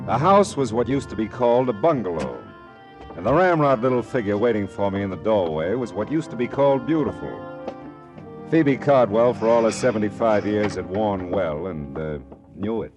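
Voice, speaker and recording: A man's voice: lowish voice, expressive, normal speed, over music, natural voice. A man playing a wry, world-weary American private detective in a 1940s radio drama, speaking in terse, punchy phrases. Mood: wry, leisurely. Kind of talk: 1940s radio drama acting, first-person narration.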